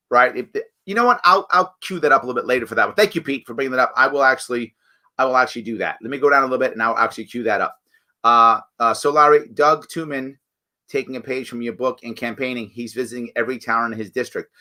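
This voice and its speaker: A man hosting a live stream, talking casually and reading viewer comments, his voice raspy and a bit hoarse from weeks of campaigning. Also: mid-range voice. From New York State. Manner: conversational, animated, frequent fillers and restarts. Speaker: show host.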